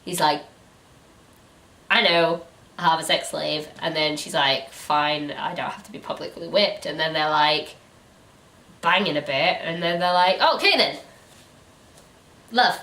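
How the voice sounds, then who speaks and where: A woman hosting a casual book review, chatting in an animated, incredulous and informal way. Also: low-pitched, unhurried, long pauses. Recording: roomy, echoey room sound.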